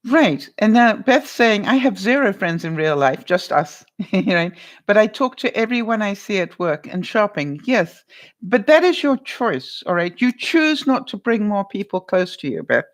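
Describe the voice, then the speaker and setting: Give me a lively woman vlogger with a carrying voice, talking casually to her live chat at a middle pitch. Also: unhurried. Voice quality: loud voice that carries. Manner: chatty, lively, laughs often, talks to viewers by name.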